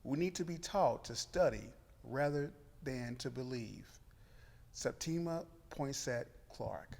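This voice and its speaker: A man's voice: medium pitch, animated, takes it slowly, vocal fry, darkish tone. A man, a church member, reading quotations aloud in a solemn, scripted way. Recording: long hall reverb.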